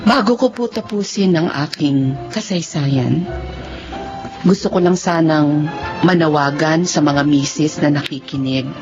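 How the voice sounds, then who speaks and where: A woman's voice: deep voice, unhurried. A woman playing a remorseful imprisoned wife, telling her confession solemnly as radio drama narration. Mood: solemn regret. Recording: dull recording with loud music.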